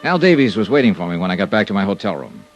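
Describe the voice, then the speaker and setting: An American actor playing a tough, sardonic insurance investigator in a clipped 1950s radio drama style. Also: male voice, lowish voice, very animated, very fast, lo-fi sound, soft background music. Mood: brisk, matter-of-fact. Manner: clipped, hard-boiled delivery. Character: tough, sardonic male insurance investigator narrating his case. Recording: vintage radio drama recording.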